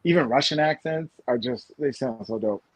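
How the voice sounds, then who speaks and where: A man in his thirties from the United States, co-hosting a casual podcast chat, animated and rambling with lots of fillers and restarts. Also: medium pitch, normal speed, no room sound, rough recording. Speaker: podcast co-host.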